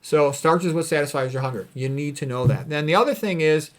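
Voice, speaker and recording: A man's voice: fairly high pitch, a little fast. A man speaking informally as a doctor giving health advice, blunt and opinionated, leaning on repeated phrases for emphasis. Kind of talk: informal commentary on read quotes.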